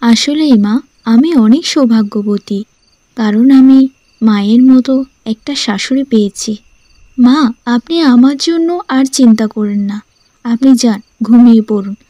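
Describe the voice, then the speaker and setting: Woman voicing an earnest, high-voiced young cartoon bride. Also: moderate pace, warm tone, no room sound. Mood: grateful, reassuring. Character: devoted, determined young studious daughter-in-law.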